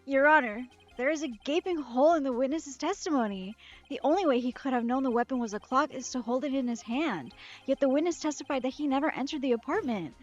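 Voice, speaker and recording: An earnest young defense attorney presses his case, declaiming each point with determination, read by a woman. The voice sits high, slightly muffled, with game music playing underneath. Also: fast talker, natural voice. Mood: determined. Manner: determined, declaiming, reading aloud.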